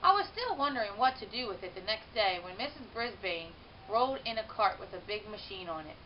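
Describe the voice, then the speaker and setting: A woman reads a children's story aloud in an expressive, animated way, stretching words for emphasis. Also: mid-range voice, fairly quick. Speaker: teacher reading a children's book aloud. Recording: muffled, hissy home-style recording.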